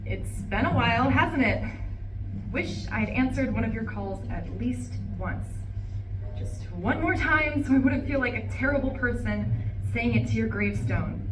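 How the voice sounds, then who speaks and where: A woman's voice: fairly high pitch, lively intonation, a little slow. A woman in a live play reading, playing a bitter, refined older divorced wife in a resentful, dramatic monologue. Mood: quiet, wistful. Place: room at a live campus reading. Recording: echoey room, distant mic, faint noise.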